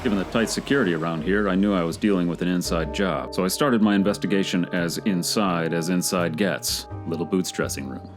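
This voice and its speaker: A man's voice: low-pitched, fairly quick, few pauses, over loud music, natural voice. A man playing a wisecracking, self-assured private detective in an old-time comic radio serial, narrating in clipped noir style with dry asides. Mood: wry, narrating.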